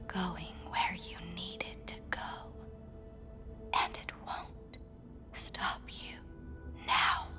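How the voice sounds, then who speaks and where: An actress playing a calm, enigmatic woman counsellor in a video game, speaking in a breathy, soothing, measured way. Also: on the low side. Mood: hushed reassurance. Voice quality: breathy, whispery. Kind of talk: cinematic video game acting. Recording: music playing behind the voice. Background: music.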